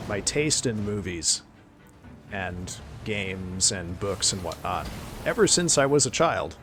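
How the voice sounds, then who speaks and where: Excitable male streamer losing his voice. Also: lowish voice. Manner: excitable, thanking viewers, swearing in surprise. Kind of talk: casual live-stream chat.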